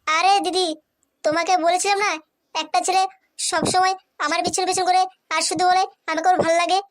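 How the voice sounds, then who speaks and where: A woman's voice: very high voice, flat delivery, fairly quick. A woman voicing a coy, hesitant, lovestruck younger sister in a comic cartoon.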